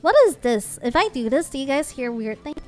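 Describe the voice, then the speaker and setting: Woman streamer, high voice, chatty and giggly, faint music behind. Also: animated, fairly quick, darkish tone. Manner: chatty, giggly, switches between English and Japanese. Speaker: VTuber streamer. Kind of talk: casual live-stream chat.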